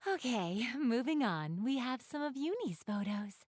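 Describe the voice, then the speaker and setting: A woman's voice: on the high side, big pitch swings, fairly quick, a little mellow, no room sound, studio quality. A woman playing an elegant, doting big-sister goddess in a video game, speaking in polite, formal, refined phrases straight to the listener. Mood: gushing, delighted. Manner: polite, formal, refined diction, gushing over what she adores. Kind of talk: video game character acting, addressing the listener.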